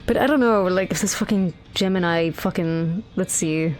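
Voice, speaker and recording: A woman's voice: on the low side, moderate pace, a little mellow, soft background music, low-quality recording. Swearing woman streamer. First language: Dutch. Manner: rambling, swearing, exasperated. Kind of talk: casual gameplay chat.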